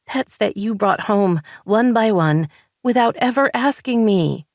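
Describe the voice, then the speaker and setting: A woman's voice: deep voice, animated, moderate pace, no echo, studio quality. A woman voicing a hurt, frustrated married woman who presses her points insistently. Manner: heated, insistent.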